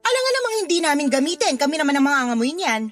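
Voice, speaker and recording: A woman with a Filipino accent playing a sassy, defensive, thrifty neighbour in a radio drama, expressive and sarcastic, over music. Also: sits high, racing. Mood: defensive, annoyed. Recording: music bed under the voice.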